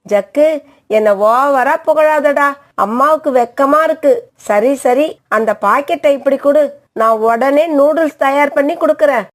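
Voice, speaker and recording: Woman as a high-pitched indulgent mother bird. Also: expressive, slow, long pauses. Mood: coy, flattered. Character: feared mother bird, indulgent and easily flattered. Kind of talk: children's cartoon voice acting.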